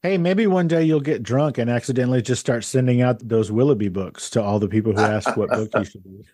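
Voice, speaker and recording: A man's voice: medium pitch, brisk, mellow, husky, no echo, studio quality. A man hosting a podcast interview, friendly and joking with his guest. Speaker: podcast host. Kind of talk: casual interview chat.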